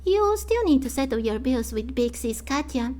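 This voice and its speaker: A woman's voice: sits high, sing-song, moderate pace, a little mellow. A woman voicing a proud, loyal young girl from a street gang in a video game, speaking earnestly. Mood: proud, friendly. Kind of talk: fan voice-over of video game dialogue.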